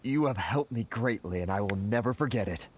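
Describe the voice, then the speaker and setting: A man's voice: on the low side, animated, brisk, slight background noise, natural voice. Flustered, timid merchant, played by a man. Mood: grateful. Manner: hesitant, deferential. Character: timid, grateful male merchant, earnest and flustered. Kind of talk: video game voice acting. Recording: faint game music under the voice.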